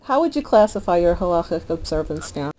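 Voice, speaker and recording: A woman's voice: on the low side, very animated, fairly quick, noisy background. A woman interviewer asking short, prepared questions in a telephone interview. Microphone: telephone interview recording.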